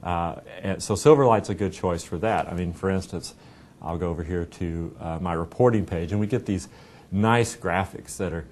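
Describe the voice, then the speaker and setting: A middle-aged American man walks through a software product in a deep, low voice. He explains things informally and conversationally, as a company representative would. A noticeable low rumble sits behind his voice. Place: indoor meeting room. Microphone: lapel mic.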